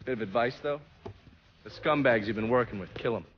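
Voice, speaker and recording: An actor playing a cynical, blunt operative in a video game drama, with a mocking manner. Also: male voice, mid-range voice, fairly quick, muffled recording, slight background noise, lightly acted. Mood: coolly sardonic. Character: cynical, foul-mouthed, sardonic male operative.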